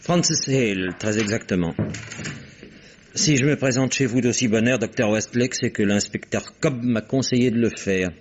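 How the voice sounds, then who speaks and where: A cynical, arrogant father, voiced by an actor in a vintage radio play, speaking in an abrupt, sardonic way at a middle pitch. The recording is muffled and dull, like old broadcast tape. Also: normal speed, noisy background, lightly acted. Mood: haughty. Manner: sardonic, abrupt, self-assured. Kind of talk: 1960s French radio drama acting.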